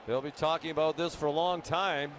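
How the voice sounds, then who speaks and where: A man's voice: fairly high pitch, steady tone, brisk. A man giving live commentary on a baseball game as a radio broadcaster. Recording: live ballpark broadcast, faint noise.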